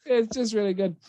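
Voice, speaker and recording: A man's voice: sits high, warm tone, dry recording. A man hosting a casual podcast chat in an enthusiastic, wordy way. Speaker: podcast host. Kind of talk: casual podcast chat about games and film.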